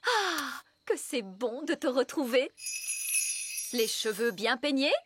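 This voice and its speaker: A woman's voice: high-pitched, animated, slow pace, noisy background. A woman voicing a prim, refined grown-up fairy lady in a French cartoon dub, polite and theatrical. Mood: gracious.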